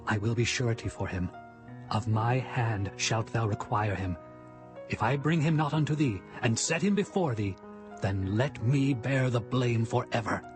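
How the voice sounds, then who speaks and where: A man's voice: medium pitch, normal speed, natural voice. An actor playing an earnest Israelite son who pleads with his father in formal archaic language, in a dramatized scripture reading. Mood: earnest pleading. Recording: music behind the voice.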